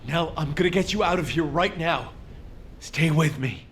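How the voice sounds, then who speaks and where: A man playing an earnest, resolute military leader in a video game cutscene, speaking in a measured, solemn way. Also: a little high, fairly bright tone, a little hiss or hum, lightly acted. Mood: urgent, worried. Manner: measured, solemn, deliberate delivery. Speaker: video game character actor.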